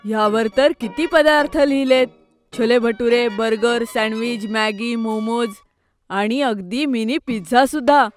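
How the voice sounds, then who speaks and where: Young big-brother schoolboy female performer, eager, inquisitive, highish voice, kids' cartoon. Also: steady tone, a little slow, faint music behind, close to an everyday voice. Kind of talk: children's cartoon voice acting.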